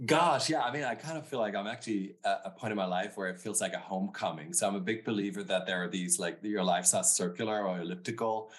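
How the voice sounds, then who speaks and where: A man's voice: fairly low pitch, quick pace, hardly any pauses. A middle-aged man from Germany with a German accent, a podcast guest talking thoughtfully and hedging his words over a remote call. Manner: thoughtful, hedging with "you know" and "sort of". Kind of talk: reflective interview talk.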